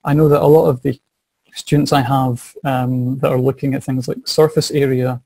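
Young Scottish man, mellow dry tone, eager teacher voice over video call. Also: medium pitch, little pitch movement, moderate pace, vocal fry, breathy edge, dry recording. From Scotland. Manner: eager, repeats words as he thinks. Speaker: science and maths teacher. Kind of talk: casual interview answers. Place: small home office. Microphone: video call webcam.

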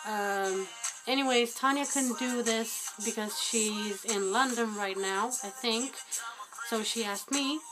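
Young woman in her twenties, webcam vlog from a small dim room, music clearly behind her, rambling and self-deprecating with many ums. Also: mid-range voice, rough recording. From Albania. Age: 20s. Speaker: guest vlogger. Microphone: webcam at close range.

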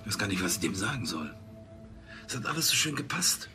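An actor playing a fussy, self-important police chief in a TV drama, speaking pompously. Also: male voice, lowish voice, very animated, brisk, music in the background. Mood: worried.

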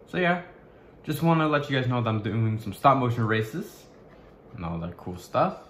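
A young man vlogging to his webcam in a small bedroom, talking in a halting way with many restarts as he searches for words. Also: mid-range voice, animated, fairly bright tone, roomy sound, slight background noise. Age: young adult. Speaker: YouTuber. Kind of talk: casual vlog to camera.

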